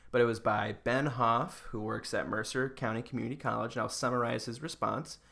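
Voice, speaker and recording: Casual American man with a creaky voice. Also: mid-range voice, steady tone, brisk, a bit bright. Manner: joking, informal. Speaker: podcast host. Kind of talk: casual explainer chat with a co-host.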